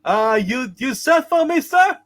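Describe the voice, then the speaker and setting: Cartoon apprentice angel, very high and wildly swooping, eager, fretful, stammering deference, comic radio play. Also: male voice, big pitch swings, unhurried, a bit bright, exaggerated voice. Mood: nervous, eager.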